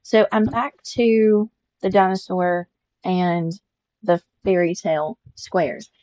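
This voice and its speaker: A woman's voice: mid-range voice, takes it slowly, mellow, muffled recording. Rambling older woman. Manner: rambling, repeats herself, many restarts. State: congested. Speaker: embroidery vlogger. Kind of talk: casual chat showing her stitching.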